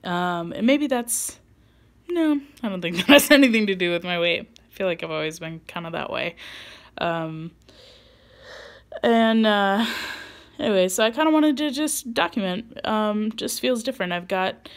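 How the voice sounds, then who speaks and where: A woman's voice: mid-range voice, lively intonation, moderate pace. A woman in her late twenties talking casually to her camera in a personal vlog, rambling with many ums and likes and laughing at herself. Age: 20s. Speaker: vlogger.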